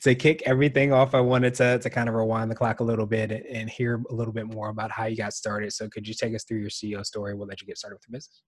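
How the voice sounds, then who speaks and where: A man's voice: lowish voice, flat delivery, fast, dry recording. A man hosting a podcast, introducing his guest in an upbeat, enthusiastic way. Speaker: podcast host. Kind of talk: podcast interview.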